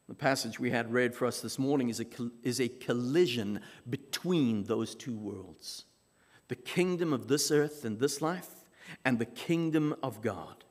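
A man from South Africa with a South African accent, preaching a sermon as pastor in measured, emphatic phrases with dramatic pauses. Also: medium pitch. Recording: long reverb of a large hall.

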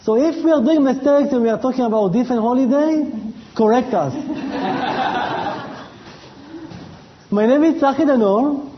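Slow-speaking Israeli man, high voice. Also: slight background noise. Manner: slow, deliberate, with pauses and fillers. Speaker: missionary. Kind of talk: church presentation. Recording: dull lo-fi sound.

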